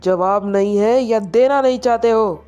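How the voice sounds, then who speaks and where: A woman's voice: on the high side, a little slow, warm tone, smooth, lo-fi sound, soft background music, pitched above the performer's own voice, close to an everyday voice. Woman acting a stern, angry old grandfather. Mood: angry, forbidding. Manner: commanding, indignant questioning. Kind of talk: dramatised story explanation.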